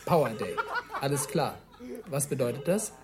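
An actor in a radio play voicing an eager male interviewer who describes the scene on the spot in a lively, observational way. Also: mid-range voice, normal speed, close to an everyday voice. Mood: amused, curious. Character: eager on-the-spot interviewer narrating what is seen. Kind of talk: radio play, taped reportage commentary. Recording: loud cheering crowd noise. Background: cheering office crowd.

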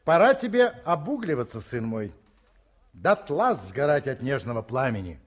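A man's voice: mid-range voice, big pitch swings. An actor playing a tired, teasing older father in a 1950s radio play. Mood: musing teasing. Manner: musing, teasing, unhurried. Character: weary, ironic older father.

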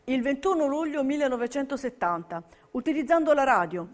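A woman with a fairly high voice narrates a documentary stage piece. Her delivery is scripted and measured, with every word clearly set out as she reads history and testimony. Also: lively intonation.

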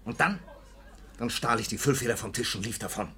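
Desperate, disillusioned grown son. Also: male voice, mid-range voice, lively intonation, fairly quick, a bit bright, breathy edge, full character voice. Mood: bitter. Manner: urgent, earnest, emphatic. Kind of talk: 1950s German radio drama acting.

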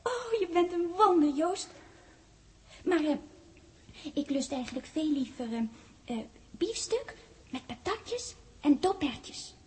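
An actress playing a lively, bossy ten-year-old girl in a childlike, chatty way, in 1960s Dutch radio drama style. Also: high voice, slow pace, long pauses, slight background noise. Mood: eager, demanding. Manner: childlike, chatty, searching for words. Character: lively, bossy little girl on her tenth birthday. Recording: husky-free vintage 1960s radio recording.

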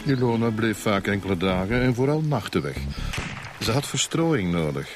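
A man's voice: lowish voice, animated, normal speed, background noise, close to an everyday voice. A man with a Flemish accent playing a cynical, detached, middle-aged husband in a radio crime drama. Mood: cool, bitter. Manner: sardonic, detached. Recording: music under the dialogue.

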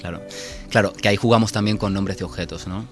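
Low-voiced Cuban man, halting. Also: fairly even intonation, soft background music. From Cuba. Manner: hesitant, repeats words, laughs often. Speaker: author guest. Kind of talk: radio interview chat.

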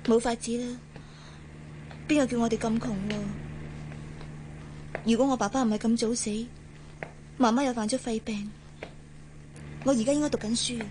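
Actress as gentle, mellow-voiced young Hong Kong woman. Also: fairly high pitch, fairly even intonation, normal speed, pauses often, slight background noise, close to an everyday voice. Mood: wistful. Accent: Hong Kong Cantonese. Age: young adult. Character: gentle, dutiful young working woman, quietly guarded. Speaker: actor in radio drama. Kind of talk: Cantonese radio drama dialogue.

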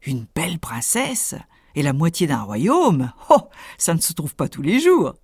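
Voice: mid-range voice, huge pitch swings, a little slow, darkish tone, husky, no echo, clean studio sound, heavily acted. A storyteller voicing a boastful, cunning little male tailor who brags in a cocky, self-satisfied way.

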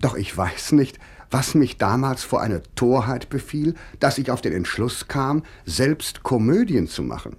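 Husky, expressive self-mocking young scholar. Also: male voice, medium pitch, a little slow, full character voice. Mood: self-mocking, confessional. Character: young scholar-playwright recalling his awkward youth, self-mocking, earnest. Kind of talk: 1950s German radio drama monologue.

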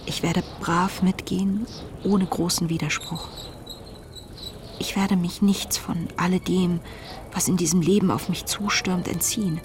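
Eine Schauspielerin spielt in einem Hörspiel eine nachdenkliche, ängstliche junge Tagebuchschreiberin, intim und bedächtig vorgetragen. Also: female voice, on the low side, noisy background. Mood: pleading, devout. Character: introspective, anxious young woman reading her diary. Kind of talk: literary radio drama monologue.

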